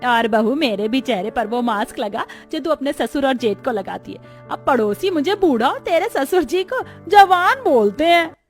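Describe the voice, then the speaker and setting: A woman voicing a jealous, sharp-tongued elderly village mother-in-law in a cartoon, nagging and taunting. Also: sits high, animated, normal speed, soft background music. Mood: pleading, envious.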